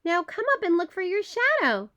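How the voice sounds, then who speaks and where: A woman voicing a frustrated, ailing male groundhog in a children's storybook, giving expressive instructions. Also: extremely high pitch, moderate pace, dull audio, voice pushed up, exaggerated voice. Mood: instructing. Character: sick, frustrated male groundhog seeking a stand-in.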